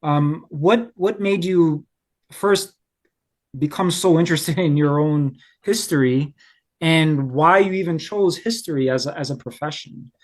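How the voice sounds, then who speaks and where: A man's voice: on the high side, a little slow. A man hosting a casual interview, putting questions in a tentative, meandering, conversational way. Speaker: interviewer, host.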